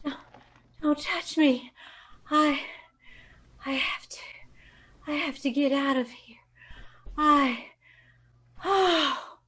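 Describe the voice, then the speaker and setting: A woman's voice: high-pitched, extremely slow, long pauses, sharp tone, full character voice. A woman playing a nervous, polite young job seeker in an old-time radio horror play, hesitant and stammering. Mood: dizzy, fading.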